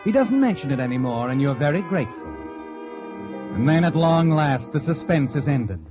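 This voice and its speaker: An actor playing a sardonic, knowing narrator in 1940s radio suspense, insinuating and theatrical. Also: male voice, a little high, very animated, moderate pace. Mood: knowing, suspenseful. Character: sardonic, knowing male narrator addressing the heroine. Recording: dull vintage radio recording. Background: dramatic music.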